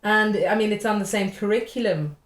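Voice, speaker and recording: Brief: Irish woman comic on a podcast, mid-pitched, swears and rambles, in an echoey room. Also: brisk. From Ireland. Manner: swears freely, rambling and jokey. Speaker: stand-up comedian, podcast guest. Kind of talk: casual podcast chat.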